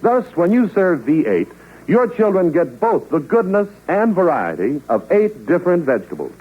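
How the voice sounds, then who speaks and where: Radio announcer guy selling juice, husky, lively, tinny old broadcast. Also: normal speed. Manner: smooth persuasive pitch. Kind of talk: 1940s radio ad read.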